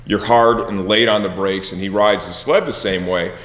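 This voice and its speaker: An upbeat middle-aged man with a slightly low voice chats and jokes with his son. He keeps asking him leading questions. He is recorded from a distance in a big garage, with a long, roomy echo. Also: steady tone, moderate pace, fairly bright tone, a little hiss or hum. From Michigan, USA. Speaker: host, team owner and father. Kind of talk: casual chat with his son. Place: large garage workshop indoors. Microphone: far camera, no mic seen. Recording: echoey, roomy garage sound.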